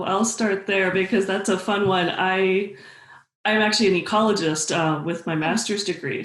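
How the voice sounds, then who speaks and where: A woman's voice: fairly low pitch, roomy sound. A middle-aged woman, a guest author, talking eagerly on a video call interview from home. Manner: enthusiastic, quick bursts. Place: home room, indoors.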